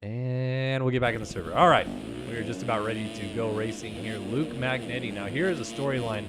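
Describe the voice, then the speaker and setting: A man's voice: mid-range voice, a little fast, noisy background. A man doing play-by-play commentary for an esports race, his voice still recovering after being lost, in an upbeat announcer manner. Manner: upbeat announcer, reading sponsors and plugs.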